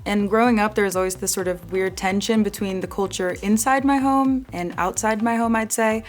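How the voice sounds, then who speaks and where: Quick-talking young woman. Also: medium pitch. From United States. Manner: casual, reflective, upbeat. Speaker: graduate student. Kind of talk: interview testimonial.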